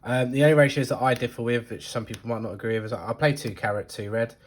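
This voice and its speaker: A man's voice: mid-range voice, steady tone, fast talker. A man talking casually about his card deck, hesitant and full of ums, sounding stuffy from a cold. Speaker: card game deck reviewer. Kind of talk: casual talk to camera.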